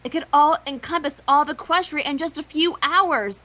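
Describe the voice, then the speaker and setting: A woman's voice: high voice, normal speed, sharp tone. A woman voicing a brainy, bossy little female unicorn who explains things wordily, in a cartoon story reading. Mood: explaining. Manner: wordy, explanatory.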